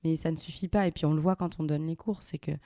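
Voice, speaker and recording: Soft-spoken woman in her thirties hosting. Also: low voice, steady tone, fast talker, no room sound, clean studio sound. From Algeria, raised in France. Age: 30s. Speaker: host interviewing her mother. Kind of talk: casual interview.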